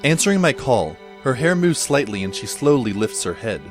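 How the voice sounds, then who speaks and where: A man's voice: medium pitch, sing-song, moderate pace, close to an everyday voice. Guy doing a bewildered teen schoolboy narrating an audio drama, dramatic n wordy, voice swings up and down, music behind. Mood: wry, watchful. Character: bewildered, wry teenage schoolboy narrating his adventure. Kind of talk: scripted first-person audio drama narration.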